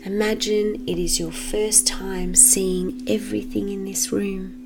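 A woman leading a guided meditation for children in a gentle, measured manner with long pauses. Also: mid-range voice, little pitch movement, creaky, background noise.

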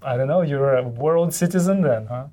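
A man's voice: mid-range voice, normal speed, warm tone. A man hosting an interview podcast, speaking directly to listeners in a welcoming manner.

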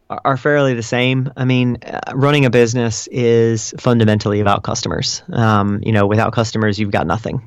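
A man answering interview questions as a guest, explaining things in a measured, conversational way with casual hedges. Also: mid-range voice, clear, resonant tone, no room sound. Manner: explanatory, measured, hedging with "kind of" and "you know". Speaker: interview guest, customer support director.

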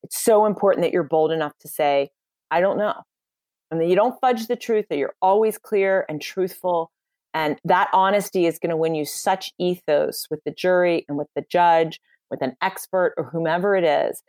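A woman speaking with a fairly low pitch, confident and emphatic as she coaches on communication. Her voice is close and dry, with no room sound behind it. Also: normal speed, darkish tone, dry recording. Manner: confident, emphatic, advice-giving. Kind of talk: podcast interview answers.

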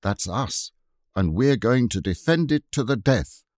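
A man reading the part of an eager, clever young boy in an audiobook, raising his voice for the boy's excited explanations. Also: mid-range voice, unhurried, dry recording, studio quality, lightly acted. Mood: dramatic.

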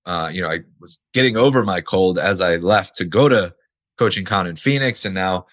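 A man hosts his solo podcast in a rough, hoarse voice, close to losing it. His voice sits fairly low, and he talks casually, hitting his points hard and swearing now and then. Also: normal speed, a bit bright. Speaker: podcast host.

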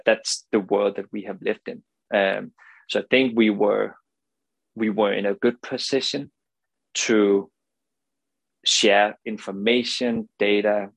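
A man's voice: on the low side, vocal fry, breathy edge, no room sound, rough recording. A young man from Denmark with a Danish accent, earnestly explaining his company in an interview and often tagging his points with "right?". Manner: earnest, many restarts and "right?" tags. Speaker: founder of a swim goggle company. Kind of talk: podcast interview.

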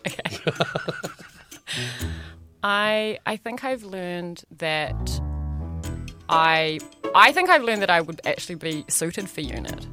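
A young adult woman with a New Zealand accent, chatting as a podcast guest and telling animated stories with plenty of laughter. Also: lowish voice, slow, pauses often, music in the background. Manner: animated storytelling, laughs often, acts out other voices. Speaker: guest actress. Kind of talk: casual podcast chat.

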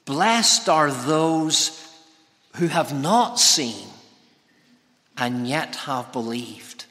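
A man's voice: fairly high pitch, expressive, pushed and bright. Man preaching in a long-echoing hall. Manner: measured, deliberate phrasing with pauses. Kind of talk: sermon. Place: large church interior, preaching to congregation. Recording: long reverberant church hall echo.

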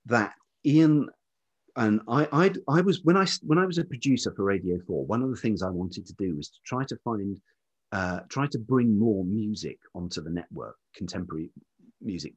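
Interview guest: middle-aged male, low-pitched and velvety with some rasp, reflective and halting in delivery. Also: dull audio. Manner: hesitant, piecing thoughts together. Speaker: podcast guest, writer and producer. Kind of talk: reflective anecdotal interview talk.

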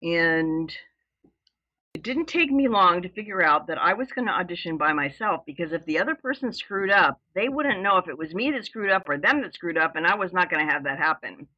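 A woman in her seventies from Southern California, telling a personal story as a podcast guest in a loud, exuberant way. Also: fairly low pitch, lively intonation, brisk, dry recording, muffled recording. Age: 70s.

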